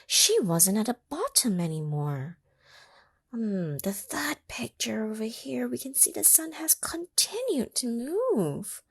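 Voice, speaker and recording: A woman reading a picture book aloud to children, pausing to ask them questions and sometimes dropping to a whisper. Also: on the high side, breathy edge, no room sound, studio quality. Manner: animated, asks the listening children questions; drops into whispers for effect. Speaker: children's story reader. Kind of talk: read-aloud storytime for children.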